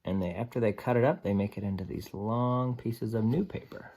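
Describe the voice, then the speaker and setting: A man with a fairly low voice presents a picture book to young children. He speaks as a teacher would, adding simple explanatory asides. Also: fairly quick, warm tone, slight background noise. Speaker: read-aloud storyteller and teacher.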